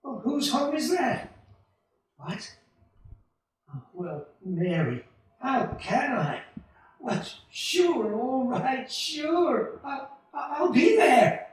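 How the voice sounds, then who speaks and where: A man playing an earnest, excitable young small-town family man in a 1940s-style radio play, with a stammering, folksy delivery. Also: extremely high pitch, extremely slow, pauses often, full character voice. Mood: flustered, sheepish. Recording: distant, very echoey stage hall.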